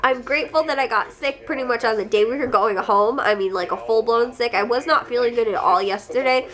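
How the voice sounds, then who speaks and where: A woman's voice: a little high, fairly quick, a bit bright. A young woman vlogging at home while sick and congested, talking casually and rambling with frequent laughs. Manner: rambling, chatty, laughs often. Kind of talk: casual chat to camera.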